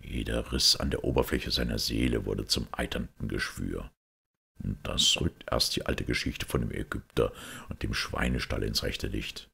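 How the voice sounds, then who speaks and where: A man with a gravelly voice reading a detective story as scripted narration. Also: extremely low pitch, fairly bright tone. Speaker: audiobook reader.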